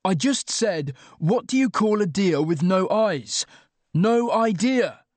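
A man's voice: on the high side, a little slow, a little mellow, no room sound, lightly acted. A man voicing an exasperated, sardonic young man, the hapless hero of an audiobook, wry and emphatic. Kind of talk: audiobook character dialogue.

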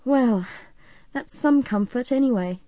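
A woman voicing a warm, excitable teenage girl in an audiobook, eager and concerned. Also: high-pitched, a little slow, no room sound, lo-fi sound, pitched above the performer's own voice. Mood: sympathetic.

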